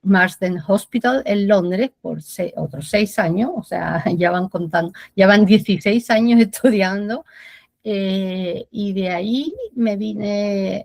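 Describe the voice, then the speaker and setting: A woman's voice: medium pitch, vocal fry, darkish tone, no echo. A woman from Spain in her forties, a medical physicist answering an audience question on a video call, with a Spanish accent slightly tinged Chilean and many hesitant fillers. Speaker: medical physicist, guest speaker.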